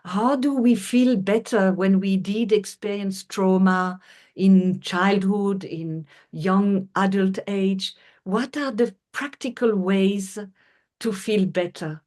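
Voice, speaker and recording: A woman's voice: mid-range voice, darkish tone. Middle-aged French-accented woman, earnest. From France. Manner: earnest, unhurried, with frequent fillers and restarts. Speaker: guest, healer. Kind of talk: conversational interview.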